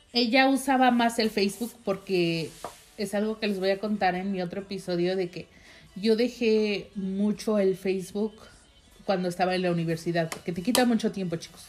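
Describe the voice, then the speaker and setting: A woman's voice: mid-range voice, darkish tone. A young woman with a Mexican accent tells a personal story in a casual, rambling way, with many fillers and asides to her viewers. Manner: chatty, rambling, heavy use of fillers, addresses viewers directly. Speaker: vlogger telling a personal story.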